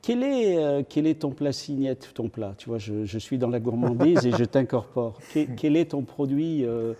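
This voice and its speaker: A man's voice: mid-range voice, expressive, normal speed, dark tone, long reverb tail. A Belgian man hosting a casual interview, asking questions with hesitant restarts. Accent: Belgian French. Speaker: host, interviewer.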